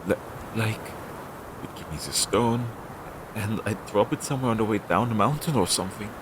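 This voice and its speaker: Noisy low-fidelity recording, man acting as anxious magical familiar, low-pitched, halting, fretful, devoted, audio drama. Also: lively intonation, lightly acted. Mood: worried. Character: anxious, self-doubting magical familiar devoted to his master. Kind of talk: scripted audio roleplay, one-sided dialogue. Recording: low-fidelity audio with clear background noise.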